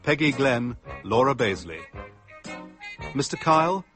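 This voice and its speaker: A man's voice: medium pitch, sing-song, unhurried. A man reading scripted cast credits as a radio drama announcer. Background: music.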